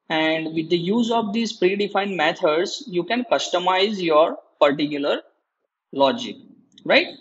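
A man giving a halting, step-by-step screen tutorial as a programming lecturer. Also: sits high, dull audio, slight background noise. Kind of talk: screen-recorded tutorial explanation.

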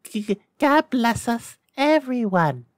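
A man voicing a frail, sweet, cheerful little boy in a storybook reading, halting and feeble. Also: very high voice, big pitch swings, dry recording, clean studio sound, pitched above the performer's own voice, heavily acted. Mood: feebly joyful.

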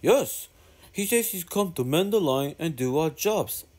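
An older narrator voice playing Skarloey, a kind, calm old male engine who speaks gently and gravely. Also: mid-range voice, unhurried, pitched above the performer's own voice. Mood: gentle. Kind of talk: storybook reading.

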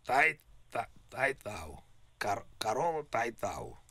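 A man plays a confused, naive customer on a prank phone call. His voice is forceful and creaky, recorded dry and close. He rambles haltingly and repeats himself. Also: medium pitch, very animated, no echo. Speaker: prank caller.

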